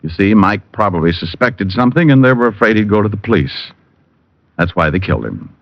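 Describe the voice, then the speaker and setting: Low-voiced wisecracking radio private eye. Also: male voice, sing-song, brisk, dry recording. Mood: cool, wry. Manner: wry, hard-boiled, wisecracking. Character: wisecracking private detective, cool and wry. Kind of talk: 1940s-50s radio detective narration and dialogue. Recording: muffled old radio recording.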